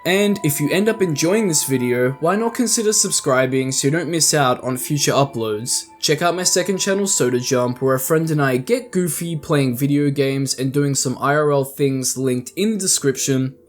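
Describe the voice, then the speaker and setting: A man with a slightly high voice narrates a scripted video essay. He talks like a casual online host, dropping slangy asides into his commentary. Music plays faintly behind him. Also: moderate pace, hardly any pauses.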